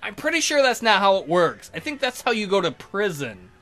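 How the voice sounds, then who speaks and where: Male let's player: high, very animated delivery, sarcastic narration and commentary on a visual novel. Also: a little fast. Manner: sarcastic, incredulous asides. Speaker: let's play host.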